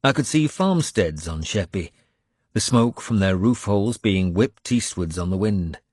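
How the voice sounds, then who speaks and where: A man narrates a historical adventure in the first person. His voice is low and the sound is dry, with a dramatic, scripted storyteller's delivery. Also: lively intonation, measured pace, a little mellow, breathy edge, dry recording. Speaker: audiobook narrator.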